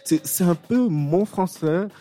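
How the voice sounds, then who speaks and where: A man in his late thirties from Cameroon, a novelist answering interview questions in a relaxed, hesitant way with many fillers and restarts. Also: sits high, slow, faint music behind. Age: late 30s. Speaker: author guest.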